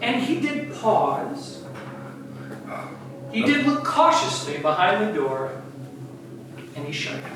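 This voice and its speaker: A woman's voice: fairly low pitch, big pitch swings, slow, long pauses, background noise. A woman narrating a comic dramatic reading in a theatrical way with wry asides, heard from a distance in an echoey room. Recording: echoey room, distant mic, music behind.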